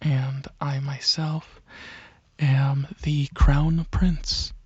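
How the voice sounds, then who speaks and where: A man voicing a regal, courteous dragon alien prince in a soft-spoken ASMR roleplay, with a husky, breathy voice and a hesitant, musing, explaining manner. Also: mid-range voice, little pitch movement, extremely slow, no echo, dull audio. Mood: soft, proud.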